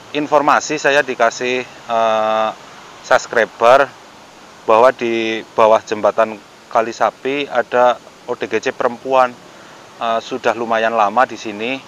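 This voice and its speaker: A man's voice: medium pitch, fairly bright tone, a little hiss or hum. A middle-aged man with a Javanese accent, speaking casually and politely as an on-location vlogger, slightly muffled by a face mask. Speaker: vlogger helping homeless people. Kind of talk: casual on-location vlog narration and chat. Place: outdoors, yard and riverside by a road bridge.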